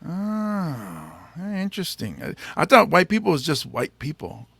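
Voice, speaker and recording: A male podcast host chatting casually in an interview, playful and teasing himself, often halting on fillers. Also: on the high side, huge pitch swings, gravelly, bright, forceful tone, husky, no room sound. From United States.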